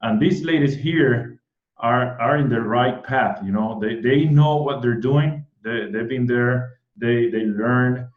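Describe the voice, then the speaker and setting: A man from Puerto Rico with a Puerto Rican Spanish accent, Spanish his first language, hosting an online event in an eager, excited way, often repeating words and restarting. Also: medium pitch, slow pace, reverberant, narrow, tinny audio. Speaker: host.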